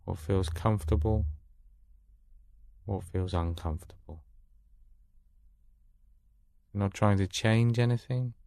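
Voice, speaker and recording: A man guiding a meditation in a calm, soothing manner with long pauses, recorded close in a dry space. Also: on the low side, creaky. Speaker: meditation guide. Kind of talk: guided meditation.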